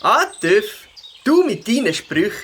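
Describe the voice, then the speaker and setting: A man's voice: high-pitched, big pitch swings, a little slow, fairly bright tone, husky, exaggerated voice. Young beaver voiced by a man for a kids' audio story: Swiss German, good-natured, childlike, swooping intonation, birdsong behind. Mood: annoyed.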